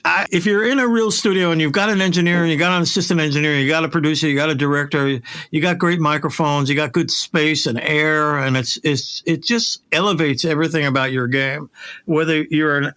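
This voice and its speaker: A man's voice: on the high side, steady tone, brisk, fairly bright tone. A middle-aged man talking shop casually as an audio producer, in an emphatic, conversational way.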